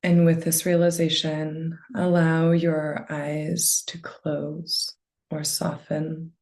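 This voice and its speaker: A woman leading a guided meditation with gentle, unhurried phrasing and a soft, soothing delivery. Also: low-pitched, flat delivery.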